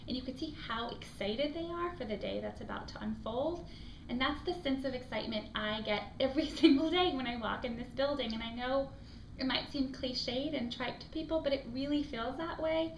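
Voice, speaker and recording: A woman from Afghanistan with an American accent speaks as a school admissions director in an interview. She talks in an earnest, reflective way, often pausing on an um, her voice sitting a little high. She is recorded in an echoey room with clear background noise. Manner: earnest, reflective, frequent ums. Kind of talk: interview answers to off-camera questions.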